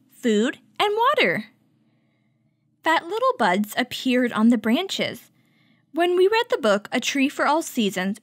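A woman hosting a children's story time, reading a picture book aloud and teaching with clear, instructive delivery. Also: high voice, dry recording, clean studio sound. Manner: clear, instructive, addressing young children with questions.

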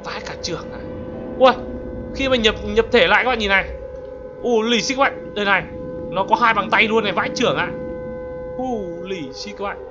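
A man's voice: high voice, fairly quick, pauses often, muffled recording. A man giving casual, exclamatory gameplay commentary as a gaming YouTuber, throwing in English exclamations. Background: music.

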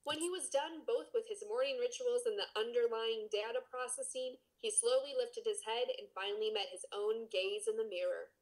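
A woman's voice: a little high, fairly even intonation, normal speed, few pauses, rough recording. A woman co-hosting a podcast, playful and teasing as she banters and reads aloud casually. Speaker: podcast co-host.

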